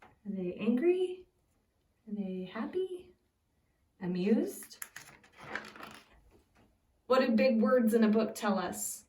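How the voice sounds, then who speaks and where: A woman reads a picture book aloud as a preschool teacher, simply and expressively, pausing to put questions to young listeners. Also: on the high side, expressive, darkish tone. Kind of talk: read-aloud storytelling with questions to young children. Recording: echoey room, distant from the mic.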